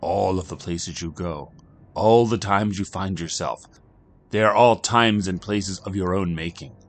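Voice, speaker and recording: A man voicing a calm, formal, mysterious European stranger with measured diction. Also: low-pitched, voice pushed up.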